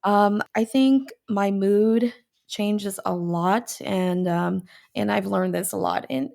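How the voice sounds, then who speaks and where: An American woman, a comedian guest, talking in casual podcast chat in an animated, self-mocking way. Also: mid-range voice, slow pace, vocal fry.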